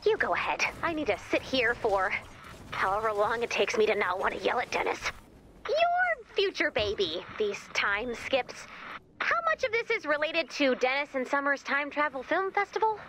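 An actress playing a brisk, wry, easily exasperated woman in command of a base in an animated video game, with dry sardonic delivery. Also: sits high, background noise. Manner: dry, sardonic, hesitant when flustered.

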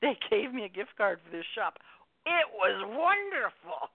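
A woman's voice: on the high side, big pitch swings, moderate pace, husky, dry recording. A woman author, a radio guest, telling stories in casual chat and laughing at herself. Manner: chatty storyteller, laughs at herself. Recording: dry, tinny phone line.

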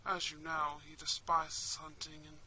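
A woman's voice: sits low, measured pace, a little hiss or hum, pitched below the performer's own voice, full character voice. A woman voicing a kind, protective young prince in an intimate roleplay, tender and dramatic. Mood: scornful, assured. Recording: steady rain and fireplace ambience.

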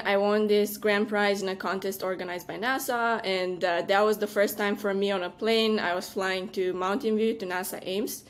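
A young woman from Romania with a Romanian accent, answering interview questions conversationally as a guest. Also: medium pitch. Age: young adult. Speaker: podcast guest, venture capital partner. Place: room at home with bookshelves.

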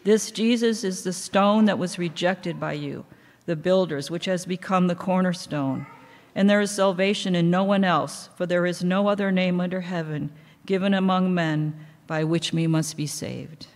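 A middle-aged woman reading Bible lessons aloud at a lectern in a church, in a measured, steady cadence. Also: on the low side. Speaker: lay scripture reader. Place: church sanctuary, indoors. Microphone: microphone on a stand at a lectern. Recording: long church hall reverb.

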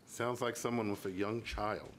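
Man, very low voice, board chairman, informal meeting talk, faint room noise, table microphone. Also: fairly even intonation, normal speed.

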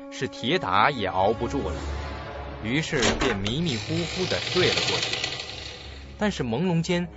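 A man narrating a suspense radio drama in a dramatic storytelling style. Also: medium pitch. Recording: dull lo-fi audio, clear music behind.

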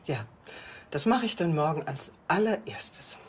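An actress playing a bitter, curt abandoned wife of about sixty in a TV crime series. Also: female voice, low-pitched, expressive, measured pace, a little hiss or hum. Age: around 60.

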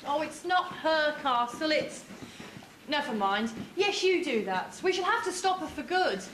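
Brisk, determined stage heroine played by a woman, high and animated, echoey hall. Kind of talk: amateur pantomime stage acting. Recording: echoey hall, audience, background noise.

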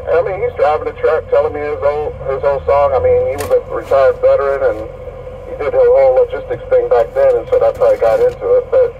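A man's voice: medium pitch, fairly even intonation, fast talker. A man tells stories in an easygoing, casual style over CB radio. His mid-range voice comes out dull and distant from the speaker, with faint vehicle noise around it. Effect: CB radio transmission. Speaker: trucker on CB radio. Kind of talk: casual storytelling.

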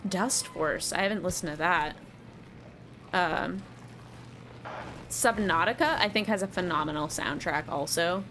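A woman's voice: lowish voice, pushed and bright, a little hiss or hum. A woman in her twenties chatting casually with her chat while playing a game at home. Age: 20s. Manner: chatty, self-deprecating, lots of fillers. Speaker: streamer. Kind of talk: live game stream chat.

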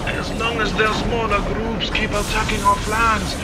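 A man's voice: flat delivery, a little slow, over loud music. An actor playing a dutiful, formal male military commander in a sci-fi audio drama. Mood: grave, reporting. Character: dutiful male fleet commander reporting to his lord. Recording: loud starship rumble behind.

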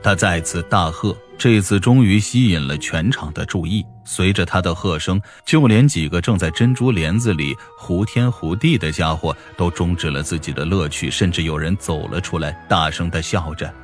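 A man narrating a fantasy novel as a scripted audiobook, in a dramatic, deliberate storytelling manner. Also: low-pitched, faint music behind.

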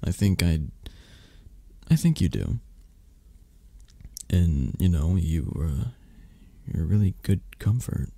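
A man playing a flirty, possessive but tender mafia boss in an intimate romantic ASMR roleplay, murmuring slowly and hesitantly in a tired, husky voice. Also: very animated, mellow, no echo, full character voice. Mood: tender, drowsy. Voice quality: low, husky, gravelly. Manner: slow, hesitant, murmured endearments with pauses. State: tired, drowsy. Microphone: close microphone.

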